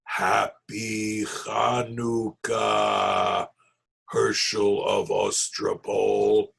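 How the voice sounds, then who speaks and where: A man voicing a terrifying goblin king for a storybook, speaking in slow, drawn-out, ominous phrases. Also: fairly low pitch, monotone, vocal fry, fairly bright tone, husky, voice pushed down, full character voice. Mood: sinister. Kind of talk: storybook read-aloud villain.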